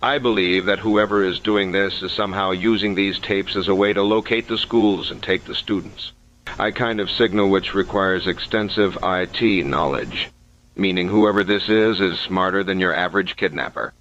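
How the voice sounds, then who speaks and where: A man playing a methodical, sceptical investigator who records case notes in a measured, analytical way, as scripted analog horror narration. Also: low-pitched, fairly even intonation, fairly bright tone. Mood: measured, serious. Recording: dull lo-fi sound, faint noise.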